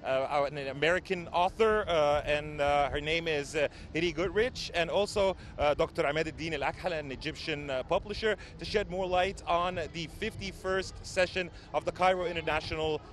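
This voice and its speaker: A man's voice: on the high side, normal speed, few pauses, a bit bright, a little hiss or hum. A man hosting a TV interview program, speaking formally with many uhs. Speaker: TV host.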